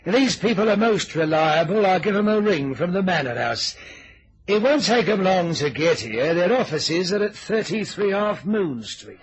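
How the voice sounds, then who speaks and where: An actor playing a shady, soothing village parson with a folksy, cajoling manner in an old-time radio mystery. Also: male voice, high voice, lively intonation, moderate pace, husky, low-quality recording. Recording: vintage radio recording.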